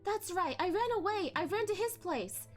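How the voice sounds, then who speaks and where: A woman's voice: very high voice, expressive, normal speed, faint music behind, voice pushed up. A woman voicing a bitter, vengeful male ghost, a former courtesan, in a hateful, mocking, dramatic storybook read-aloud. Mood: hateful, aggrieved.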